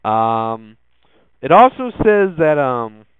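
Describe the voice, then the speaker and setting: A man hosting a podcast with casual tech news commentary, speaking haltingly and often restarting his words. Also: a little high, very animated, clear, resonant tone, dry recording. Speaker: podcast host. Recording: thin phone-like lo-fi audio.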